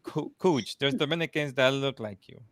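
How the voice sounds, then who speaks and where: A man, a guest reviewer from New Jersey, talking in a casual panel chat, animated, with many restarts and fillers. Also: mid-range voice, normal speed, no room sound. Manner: animated, talks with his hands in phrases, many restarts and fillers.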